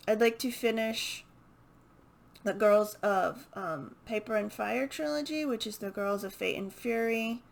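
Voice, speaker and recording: A woman chatting casually and unscripted as a book vlogger, hesitant and halting as she thinks aloud. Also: mid-range voice, vocal fry. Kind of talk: casual unscripted chat to camera.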